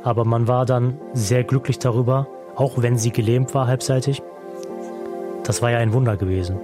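Young man, earnest storyteller, halting with fillers, mid pitch, clear music bed underneath. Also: steady tone. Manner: earnest, reflective, with many fillers and restarts. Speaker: interviewee telling his life story. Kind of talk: personal storytelling in a radio feature.

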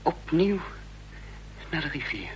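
An actor playing a tense young man in hiding, speaking and narrating haltingly in a Dutch radio drama. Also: on the high side, big pitch swings. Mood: agitated. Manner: halting, deliberate. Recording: noisy, humming old radio recording.

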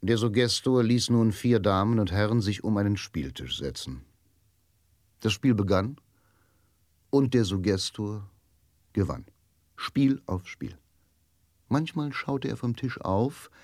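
Low-pitched male radio drama narrator. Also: expressive, unhurried, long pauses, a little mellow, no room sound, clean studio sound.

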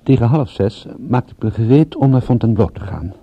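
A man's voice: medium pitch, lively intonation, brisk, warm tone, husky. A man playing a wry private detective in a radio play, with a dry, laconic delivery, at times narrating his own case. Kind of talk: radio play acting with first-person narration. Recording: dull, lo-fi radio play recording.

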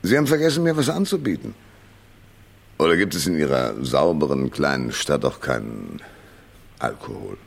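Very deep, gravelly hardboiled detective. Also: male voice, very animated, normal speed, pauses often, heavily acted. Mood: cool, insolent. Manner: dry, laconic, sardonic. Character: cynical wisecracking male hardboiled private detective. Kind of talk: 1970s radio drama noir parody, first-person narration and dialogue.

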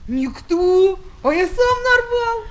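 Very high-pitched anxious little boy. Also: very animated, exaggerated voice. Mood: eager, innocent. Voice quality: childlike, piping. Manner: halting, plaintive, earnest. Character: anxious tearful little boy, naive and pleading. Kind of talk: children's audio drama acting. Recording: noisy old 1970s flexi-disc recording.

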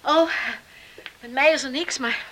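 High, bright-voiced young village woman. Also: measured pace, poor audio. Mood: uneasy, apologetic. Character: earnest young village woman, anxious and sensitive. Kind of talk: Dutch radio drama acting. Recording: hissy radio drama recording.

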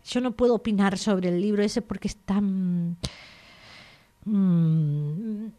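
A woman author from Argentina, talking in a relaxed interview in a thoughtful, digressive way, often pausing to search for words. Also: medium pitch.

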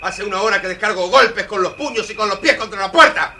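A bullying, spoiled young man in a storybook recording. The voice is high and very sharp, imperious and demanding, heard a little away from the mic with noise behind it. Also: brisk, full character voice. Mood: furious demanding. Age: young adult. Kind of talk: children's audio story acting. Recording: old record, distant from mic, background noise.

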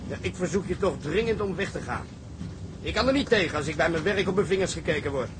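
An actor playing an irritable, nervous radio operator in a Dutch period drama, curt and stiffly formal. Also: male voice, on the high side, lively intonation, quick pace, noisy background, lightly acted. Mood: annoyed.

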